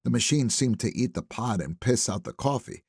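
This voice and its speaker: A man narrating a first-person thriller audiobook in a wry, conversational, expressive manner. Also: mid-range voice, moderate pace, gravelly, breathy edge, dry recording, studio quality.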